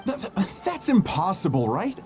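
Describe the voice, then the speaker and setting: A man playing an excitable, incredulous young male friend in a video game, casual and plain-spoken. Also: sits high, normal speed, music in the background, exaggerated voice. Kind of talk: video game character acting.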